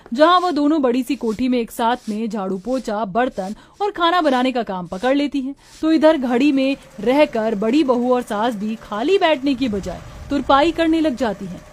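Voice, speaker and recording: A woman's voice: high voice, no echo. A woman reading scripted narration for a cartoon moral story as storyteller.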